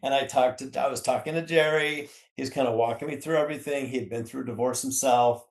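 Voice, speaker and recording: A man's voice: medium pitch, steady tone, quick pace. Man, fifties, American accent, casual plain-spoken recollection, conversational, roomy echo. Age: 50s. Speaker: podcast guest, author's husband. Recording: echoey room.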